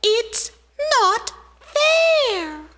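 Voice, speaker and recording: A woman voicing a tiny indignant female ant who squeaks in outrage. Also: big pitch swings, extremely slow, a bit bright, slight background noise, full character voice.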